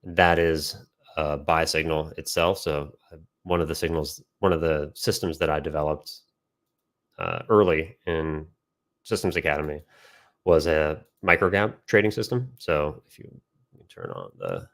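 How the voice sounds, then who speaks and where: A man giving informal instructional commentary as a trading educator, pausing mid-phrase to think as he explains and answers questions. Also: very deep voice, lively intonation, vocal fry, a bit bright. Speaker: trading educator and system developer.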